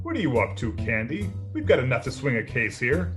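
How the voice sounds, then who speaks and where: Male actor for practical homicide man in a radio play; plain-talking, average pitch, light music behind. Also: huge pitch swings, a little fast, fairly bright tone. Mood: skeptical. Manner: plainspoken, matter-of-fact.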